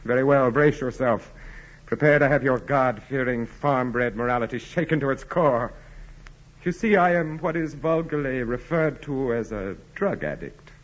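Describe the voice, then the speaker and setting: An actor in 1940s radio style playing an arrogant, tormented aristocratic husband who speaks formally and with sardonic coldness. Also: male voice, medium pitch, moderate pace, close to an everyday voice. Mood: bitter, tormented. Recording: muffled vintage 1940s radio recording.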